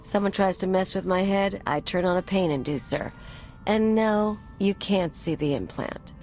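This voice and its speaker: A woman's voice: mid-range voice, normal speed, a little mellow, faint music behind, close to an everyday voice. An actress playing a brash, sarcastic female anarchist bomber in a video game, with a blunt, irreverent manner. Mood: hostile, gruff.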